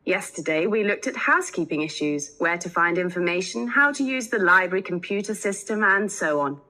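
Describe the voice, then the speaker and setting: A woman's voice: fairly low pitch, expressive, fairly quick, bright, forceful tone, low-quality recording. A woman playing an organised college lecturer welcoming students in a scripted, instructive talk. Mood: businesslike, welcoming.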